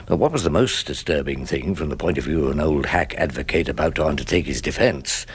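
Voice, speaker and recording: An actor playing a wry, world-weary old English defence barrister in a radio drama, speaking in a dry, wordy, deliberate way. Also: male voice, extremely low pitch, slight background noise. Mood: wry, reflective. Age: older. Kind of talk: British radio drama narration and dialogue.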